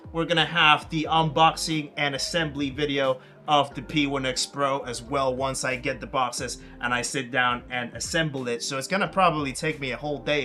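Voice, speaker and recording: A young man streaming a sim racing session, chatting casually with viewers and reading out chat, his throat rough and scratchy. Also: fairly high pitch, pushed and bright. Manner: chatty, reads and answers chat aloud.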